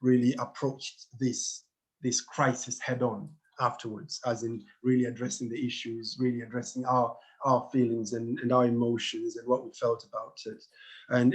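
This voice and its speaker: A middle-aged man from Tanzania, a panel guest telling his own story earnestly, halting and repeating words, over a video call. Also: medium pitch, breathy edge, low-quality recording. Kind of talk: personal testimony on a panel.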